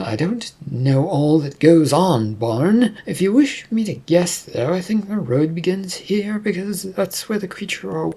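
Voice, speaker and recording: A man voicing a defensive, unsure woman in a fantasy story, hesitant and stammering, with a husky edge. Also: sits high, darkish tone, voice pushed up, heavily acted. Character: Claudia, defensive, unsure woman native to the realm.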